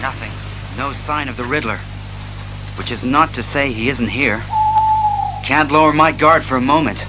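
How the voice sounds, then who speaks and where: A man playing a stern, analytical masked detective, speaking resolutely and deliberately in a superhero audio drama. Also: medium pitch, over music, close to an everyday voice, poor audio. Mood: tense, watchful. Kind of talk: superhero radio drama acting.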